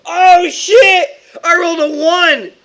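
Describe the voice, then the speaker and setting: A man's voice: very high-pitched, steady tone, slow pace, bright, forceful tone. A man chatting casually as a tabletop game player, swearing and joking. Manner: profane, joking.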